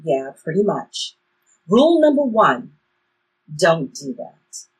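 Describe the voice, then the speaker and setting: A woman's voice: low-pitched, sing-song, slow pace, husky, heavily acted, poor audio. A woman playing a cautious, wry time-traveling guide who instructs, in a stage play reading. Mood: cautioning.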